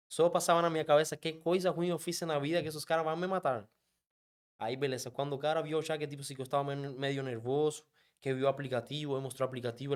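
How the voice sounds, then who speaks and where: A man from Cuba, a native Spanish speaker with a Cuban accent, telling a story in a casual interview and slipping Spanish words into his Portuguese. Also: medium pitch. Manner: animated, quick, mixes Spanish words into Portuguese. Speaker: guest, app driver.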